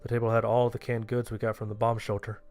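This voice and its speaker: A man's voice: lowish voice, monotone, fast, darkish tone. A man narrating a first-person horror story, playing the main character himself. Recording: music under the voice. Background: music.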